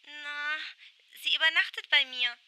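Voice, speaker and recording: Meek young cartoon pony girlfriend, played by a woman; high, hesitant, slow, stammering. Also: fairly even intonation, pushed and bright, dry recording, exaggerated voice, low-quality recording. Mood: timid, nervous. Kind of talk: amateur fan audio drama.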